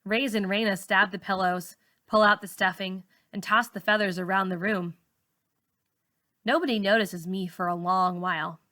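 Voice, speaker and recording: A woman narrates a first-person story like a teacher reading to a class. Her voice is mid-pitched and bright, with a forceful edge and expressive delivery. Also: normal speed. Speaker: teacher reading a novel aloud.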